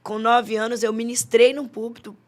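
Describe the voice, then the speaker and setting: A woman, a pastor and psychologist, telling her story as a podcast guest with expressive, faith-filled phrasing. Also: fairly high pitch, normal speed. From Amazonas, Brazil. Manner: expressive storyteller, warm religious phrasing, laughs often. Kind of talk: casual interview chat.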